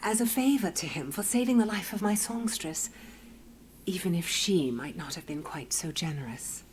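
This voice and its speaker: An actress playing a formal, stately healer woman in a fantasy video game, with measured delivery. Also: lowish voice, lively intonation, a little mellow, slight background noise, lightly acted. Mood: haughty, conceding. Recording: echoey, long reverb, faint music.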